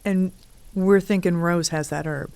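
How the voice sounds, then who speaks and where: A woman's voice: on the low side, steady tone, measured pace, a little mellow, a little hiss or hum. A woman playing a detective's wry, practical sidekick in an audio drama, speaking in a dry, matter-of-fact way. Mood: puzzled.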